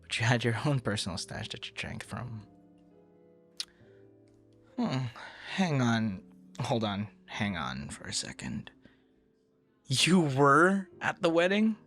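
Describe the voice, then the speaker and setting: A man playing a friendly, flustered young romantic in an intimate boyfriend audio roleplay, talking in a hesitant, rambling, conversational way with soft breathy asides. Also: mid-range voice, sing-song, slow, long pauses, faint music behind, full character voice. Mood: teasing, then surprised, curious.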